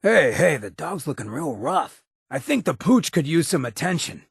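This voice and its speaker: An actor playing a roguish, watchful ghoul sidekick with a raspy voice and clipped, streetwise talk, in video game dialogue. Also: male voice, a little high, sing-song, moderate pace, no echo, clean studio sound, exaggerated voice. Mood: alert, wry.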